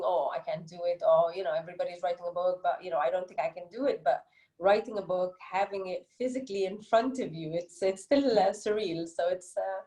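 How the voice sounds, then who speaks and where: Female interviewee with Egyptian accent, warm dark timbre, reflective and earnest, conversational, recorded with audible room echo. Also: mid-range voice. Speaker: interviewed children's book author. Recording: roomy, echoey sound.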